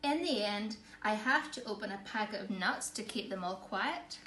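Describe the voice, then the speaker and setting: A woman in her thirties reading a picture book aloud to children, expressive and emphatic, recorded in a living room. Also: medium pitch, moderate pace. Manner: theatrical, emphatic. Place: small living room, indoors. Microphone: camera or phone a short distance away. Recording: echoey room, faint background noise.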